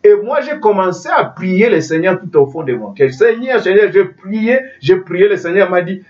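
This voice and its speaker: Lively, emphatic preacher man, distant lo-fi. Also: sits high, normal speed. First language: not French. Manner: emphatic, repeats and restarts, asks listeners if they understand. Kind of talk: sermon. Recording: dull lo-fi sound from a distance.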